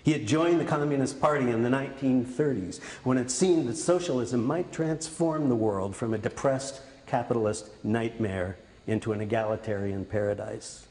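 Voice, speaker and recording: A man's voice: medium pitch, moderate pace, few pauses, a little mellow. A man reading his own literary prose aloud as an author at a public reading. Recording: echoey hall, distant, faint noise.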